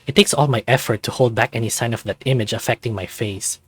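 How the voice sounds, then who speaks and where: A man reading a visual novel aloud as an animated narrator. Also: medium pitch, fast, breathy edge.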